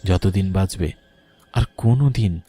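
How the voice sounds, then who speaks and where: A man's voice: sits low, unhurried, warm tone, slightly rough. A man giving dramatic, scripted narration of a ghost story.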